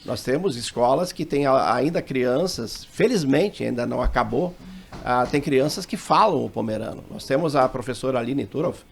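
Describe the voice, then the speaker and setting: A man from southern Brazil joins a radio interview, talkative and full of local facts. His voice is mid-pitched with a gravelly edge. He speaks in a studio setting. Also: expressive, normal speed. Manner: talkative, lists places and facts. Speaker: local association member.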